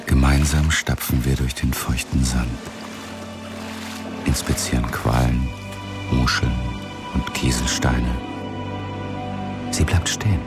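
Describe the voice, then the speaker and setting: An actor playing a brooding Mars colonist who narrates his drug trip in an intimate, deliberate inner monologue for a radio drama. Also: a bass voice, fairly even intonation, full character voice. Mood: enraptured. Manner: slow, deliberate, intimate first-person telling. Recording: loud music behind the voice. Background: loud music.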